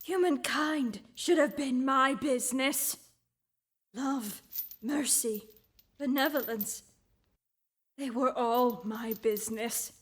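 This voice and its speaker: An actor voicing the mournful ghost of an old businessman bound in chains, in a student radio drama, speaking solemnly and dropping into breathy whispers. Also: very high-pitched, takes it slowly, pauses often, fairly bright tone, heavily acted.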